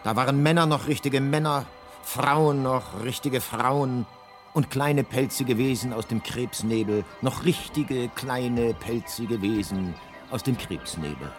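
A male actor as a grandly ironic guidebook narrator in a science fiction radio play. He tells it like an epic, measured, with deliberate pauses, at an ordinary male pitch over faint music. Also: natural voice. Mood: wry grandeur.

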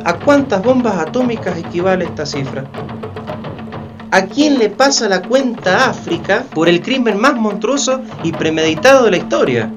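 A man's voice: high voice, lively intonation, normal speed, lo-fi sound, over music. A man playing an impassioned, argumentative historian in a community radio play, explaining emphatically and rhetorically. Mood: indignant, didactic. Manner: emphatic, rhetorical questions, didactic. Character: impassioned male historian denouncing slavery, argumentative.

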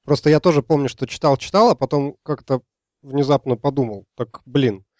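A man's voice: medium pitch, moderate pace, darkish tone, dry recording, muffled recording. A man in a casual technical discussion, asking tentatively and repeating words as he thinks aloud.

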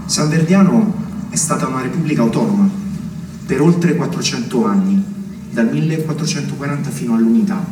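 An actor as an earnest local politician, distant and echoey. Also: male voice, medium pitch, lively intonation, lightly acted. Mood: proud. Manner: measured, rhetorical, slogan-like. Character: earnest male small-town separatist politician being interviewed. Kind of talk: naturalistic stage drama acting, political interview. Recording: roomy, distant, clearly noisy stage recording.

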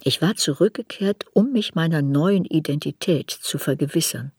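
An actress playing an older woman writer who soberly looks back on her youth in a measured, reflective radio drama narration. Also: low-pitched, expressive, darkish tone, breathy edge, no room sound, studio quality, exaggerated voice. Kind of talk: literary radio drama narration in first person.

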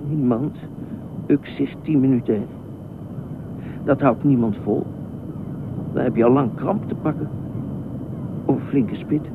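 An actor playing a grieving, dutiful lifeguard in a Dutch radio drama, talking in a halting, repetitive and rather formal, pedantic way. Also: male voice, close to an everyday voice. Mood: halting, defensive. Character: grieving, dutiful male pool lifeguard, stiffly official and rambling. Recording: muffled phone-like old radio recording, loud rumble.

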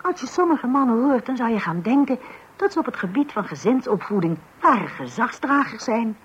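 An actress playing an assertive, chatty wife in a radio play, with a husky voice. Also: female voice, on the high side, sing-song, normal speed, full character voice. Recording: dull old radio recording.

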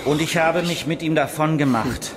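A man's voice: on the high side, moderate pace, noisy background, close to an everyday voice. An actor playing a blind, crippled old swindler who tells his story in a wretched, plaintive way in a radio drama. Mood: confessing, sly. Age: older. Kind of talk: German radio drama monologue.

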